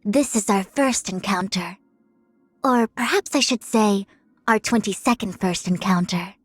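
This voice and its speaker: A woman's voice: medium pitch, a little slow, slightly rough, no room sound. A woman playing a proud young female diviner in a video game, speaking in composed, formal, lofty phrases. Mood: self-assured. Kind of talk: scripted game character voice lines. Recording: studio-dry voice over music. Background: music.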